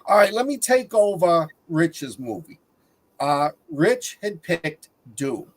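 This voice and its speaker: A man on a movie review panel talking casually, pausing mid-sentence to find his words. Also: fairly high pitch, very animated.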